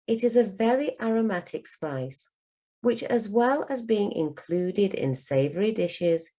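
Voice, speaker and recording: A woman with a low, mellow voice reads a children's book as a teacher would. Her delivery is slow and carefully enunciated, made for learners to follow along. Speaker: English teacher.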